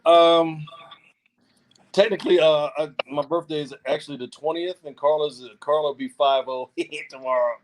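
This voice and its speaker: A man's voice: on the high side, fairly even intonation, normal speed, low-quality recording. A man in his forties, a guest on a live-stream chat, telling easygoing stories and laughing. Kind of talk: casual storytelling chat.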